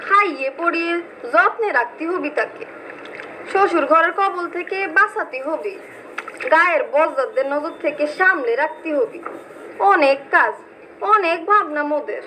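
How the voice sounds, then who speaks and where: A woman's voice: extremely high pitch, animated, normal speed, pushed and bright, a little hiss or hum, exaggerated voice, poor audio. A woman playing a defiant, protective village aunt in an acted story drama, resolute. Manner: resolute, colloquial. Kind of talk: acted short-story drama in rural Bengali.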